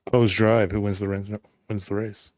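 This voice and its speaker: A man's voice: lowish voice, level tone, moderate pace, a little mellow, dry recording. A man narrating an improvised tabletop roleplaying game as game master.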